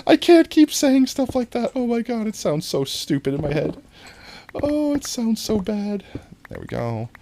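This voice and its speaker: Playful American streamer with swooping pitch. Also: big pitch swings, a little slow, warm tone. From New York, United States. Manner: playful, jokey, giggly. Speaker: live game streamer. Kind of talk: casual gaming stream chat.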